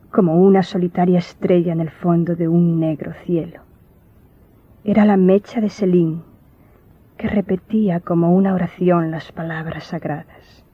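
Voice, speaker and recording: Sorrowful, low-voiced young woman. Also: slightly rough, no room sound. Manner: grave, wistful storytelling. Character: sorrowful young woman recalling childhood horror. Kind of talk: Spanish radio drama acting. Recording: dull lo-fi audio, faint music.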